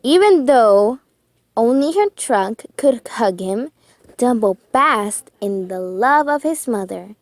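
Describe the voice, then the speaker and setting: A woman reading a children's storybook aloud with expressive, deliberate phrasing and dramatic emphasis. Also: fairly high pitch, no echo.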